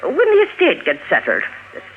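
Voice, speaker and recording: Feisty older housekeeper, high voice, wildly swooping delivery, theatrical and coaxing, old noisy radio drama. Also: female voice, huge pitch swings, normal speed, phone-quality sound. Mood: scornful. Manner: theatrical, indignant, coaxing, folksy endearments. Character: feisty, chattering older Irish-American widow housekeeper, ex-cafe owner. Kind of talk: 1950s radio drama acting. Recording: noisy vintage 1950s radio recording.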